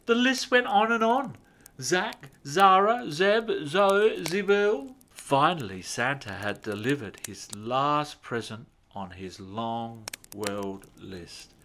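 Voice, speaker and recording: Male children's story narrator: measured, expressive, slow delivery with lively intonation and lingering words. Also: a little high, slight background noise.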